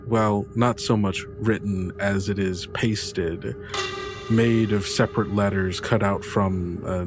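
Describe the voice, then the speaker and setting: A man with a lowish voice narrates as game master. His storytelling is descriptive and dramatic, with music clearly playing underneath. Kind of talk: narrating an actual-play tabletop story.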